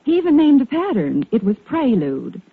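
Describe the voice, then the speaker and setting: An eager, satisfied customer in a 1940s radio commercial. Her voice is husky and animated in pitch. The recording is tinny and thin. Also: moderate pace, exaggerated voice. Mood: pleased, eager. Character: enthusiastic, satisfied woman shopper in a radio commercial. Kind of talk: 1940s radio advertising dialogue.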